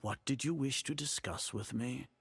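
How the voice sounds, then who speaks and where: An actor playing an arrogant older grand sage in a video game, speaking in a pompous, formal and condescending way. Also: male voice, medium pitch, moderate pace, creaky, a bit bright, slight background noise. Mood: haughty, dismissive.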